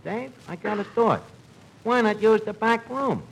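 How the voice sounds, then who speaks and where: A man's voice: high-pitched, animated, measured pace, gravelly, husky, exaggerated voice. An actor playing a gullible, chummy tavern man with folksy, mangled speech in an old-time radio comedy. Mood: eager. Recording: noisy old radio broadcast recording.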